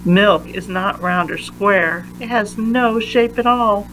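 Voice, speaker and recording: Slow-paced woman reading to children. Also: medium pitch, fairly bright tone, a little hiss or hum, low-quality recording. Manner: deliberate, emphatic phrasing for young listeners. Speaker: read-aloud reader. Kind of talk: read-aloud of a children's science picture book.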